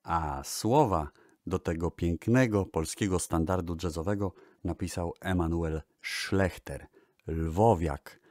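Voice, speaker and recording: A man hosting a music history show, narrating in a measured, formal radio style and addressing the listeners. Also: low-pitched, a little mellow, no room sound, studio quality. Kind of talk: radio-style narration about old recordings.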